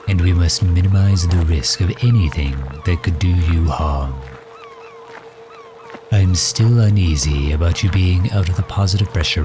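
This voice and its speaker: Man acting slow, deep-voiced doting villain. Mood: tender, fussing. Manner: fussing, courtly, gently formal, unhurried. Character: doting, overprotective male villain caring for injured hero. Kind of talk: ASMR roleplay audio drama.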